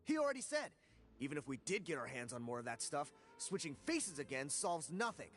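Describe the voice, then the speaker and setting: A man's voice: on the high side, very animated, fairly quick, fairly bright tone, soft background music. A man playing an indignant, argumentative, hot-tempered convict in a video game. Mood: exasperated. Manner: argumentative, exasperated. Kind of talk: video game dialogue acting.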